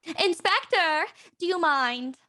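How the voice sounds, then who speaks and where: An actress playing a blunt actor who voices a brisk houseguest in a period play. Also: female voice, extremely high pitch, expressive, bright, forceful tone, heavily acted. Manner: blunt, pointed. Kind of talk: audio drama acting.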